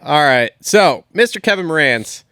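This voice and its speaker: Smooth-voiced joking male co-host. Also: on the high side, expressive, moderate pace, a bit bright, dry recording, studio quality. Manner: joking, profane banter. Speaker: podcast co-host. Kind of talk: casual chat.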